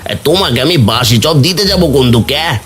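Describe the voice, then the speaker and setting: A man playing a rude, stingy village snack seller in a cartoon story, gruff and dismissive as he scolds. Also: a little high, lively intonation, very fast, fairly bright tone. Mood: irritated. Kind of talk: Bengali cartoon story dubbing.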